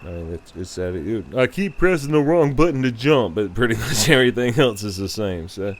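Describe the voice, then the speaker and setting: A man giving a casual, rambling game review in a loose, halting, unscripted way. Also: lowish voice, lively intonation, slight background noise.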